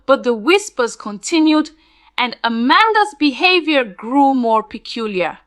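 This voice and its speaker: A woman narrating a spooky scripted tale in a dramatic, deliberate storytelling manner. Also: sits high, big pitch swings, no echo.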